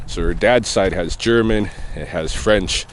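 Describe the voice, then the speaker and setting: A man talking casually to camera while out on an evening walk, thinking aloud in a loose, rambling way with pauses and soft chuckles. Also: sits low, slight background noise. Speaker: vlogger answering a viewer question. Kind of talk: casual walking vlog. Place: outdoors on an evening walk near traffic.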